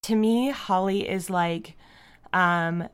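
A woman answering interview questions as a guest in a casual, thoughtful and halting way, often saying "like". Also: lowish voice, smooth. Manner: thoughtful, halting, frequent "like" as a verbal habit. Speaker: podcast creator and writer, interview guest.

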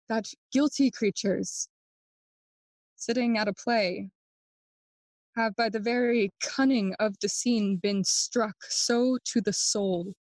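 A woman playing a bitter, grieving young prince in a bilingual classical audio play, speaking theatrical, deliberate verse. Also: medium pitch, no echo. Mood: scheming resolve. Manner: theatrical, deliberate, switching between English and Spanish.

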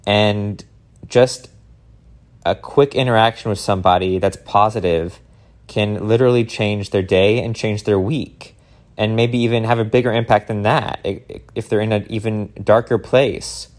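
A man's voice: on the low side, steady tone, normal speed. A man speaking as a motivational speaker in an informal, earnest and encouraging talk straight to his audience.

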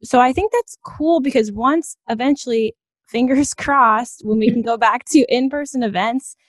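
A woman's voice: a little high, normal speed, dry recording. A woman hosting a podcast, chatting casually with a guest in an encouraging, affirming way. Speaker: podcast host. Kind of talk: casual interview chat.

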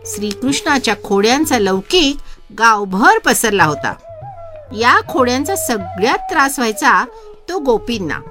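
A woman narrates a devotional story. Her voice sits high and moves in lively, expressive swings, with faint music behind it. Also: a little slow. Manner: expressive, enacts characters' speech. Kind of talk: dramatized scripted storytelling narration.

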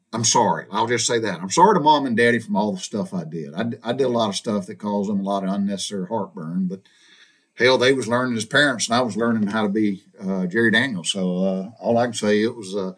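A man from Georgia with a Southern accent, telling his own childhood stories in a folksy, meandering way, often restarting his sentences and wandering into asides. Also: lowish voice. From Georgia, USA. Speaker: storyteller recounting his childhood. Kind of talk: casual spoken storytelling.

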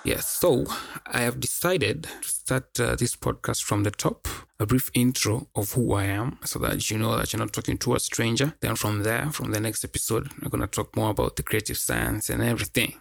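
A man's voice: fairly low pitch, normal speed, creaky, dry recording. A young man with a Kenyan accent, chatting casually as a solo podcast host in a slangy way, often repeating words for emphasis.

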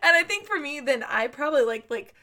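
Young woman, bright ringing voice, high-ish, chatty and laughing a lot. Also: a little fast. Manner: animated storyteller, laughs often. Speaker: podcast host. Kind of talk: casual chat.

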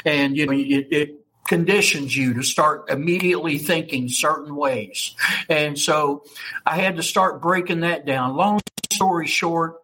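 A man's voice: a little high, measured pace, sharp tone, slight background noise, poor audio. Blunt Kentucky man in his fifties. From United States. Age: 50s. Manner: blunt and salty, checks in often with "okay?" and "you know". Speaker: interview guest, ayahuasca ceremony leader. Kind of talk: casual storytelling interview.

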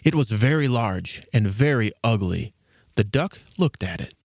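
A man reading scripted storybook narration as the narrator, in an expressive storytelling manner. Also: fairly low pitch, a little slow, gravelly, no room sound, clean studio sound.

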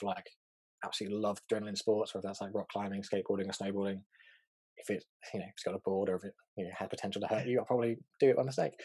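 A young man from England with a neutral English accent, a fast-talking, chatty interview guest on a video call, full of fillers and quick laughs. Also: lowish voice, warm tone, no echo. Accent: English, neutral southern. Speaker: interview guest, art director.

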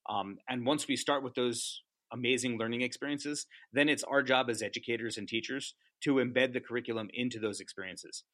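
A man talking about teaching as a podcast guest, animated and passionate, often asking "right?". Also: medium pitch, a little fast, hardly any pauses, no room sound. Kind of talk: casual interview chat.

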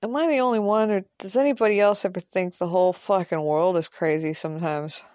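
A woman's voice: fairly low pitch, steady tone, a little fast, darkish tone, no echo, clean studio sound, natural voice. A woman playing a blunt, protective, foul-mouthed man in an audio drama, rambling and emphatic. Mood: exasperated. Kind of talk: naturalistic audio drama dialogue.

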